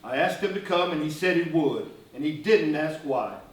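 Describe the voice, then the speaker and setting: A man acting in a stage play as an embattled activist leader, earnest, wry and weary, with a deliberate theatrical delivery. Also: on the high side, lively intonation, close to an everyday voice. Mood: weary, resolute. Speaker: stage actor. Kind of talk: live one-act stage play acting. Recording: very echoey stage, distant mic, faint noise.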